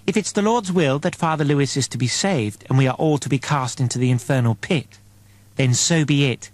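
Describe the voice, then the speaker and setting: A man's voice: medium pitch, a little fast, no echo, lightly acted. A man voicing a fanatically devout, indignant woman on a spaceship crew in an audiobook, declaiming piously. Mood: righteous.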